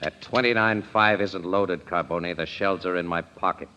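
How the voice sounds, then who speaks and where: An actor playing a tough, level-headed crime photographer, terse and firm, in an old-time radio drama. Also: male voice, deep voice, normal speed, bright, forceful tone. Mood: wry, controlled. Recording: reverberant, dull, noisy vintage radio recording.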